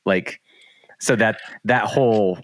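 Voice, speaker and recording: A man's voice: lowish voice, slow pace. A man hosting a podcast, talking casually and enthusiastically about a race he ran with his guest. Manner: enthusiastic, fired-up recollection. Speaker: podcast host.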